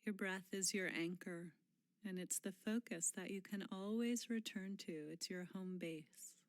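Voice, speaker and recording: A woman leading a guided mindfulness meditation in a gentle, unhurried manner, pausing often and letting phrase endings fall soft and breathy. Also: medium pitch, fairly even intonation, no room sound.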